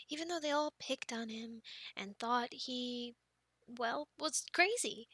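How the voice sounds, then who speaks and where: A high, bright young female voice , acted by a woman, plays a friend sharing spooky stories in an ASMR roleplay. She is expressive and playful, slipping toward breathy softness. The sound is close and very dry. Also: no room sound, heavily acted. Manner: expressive, teasing, breaking into breathy near-whispers.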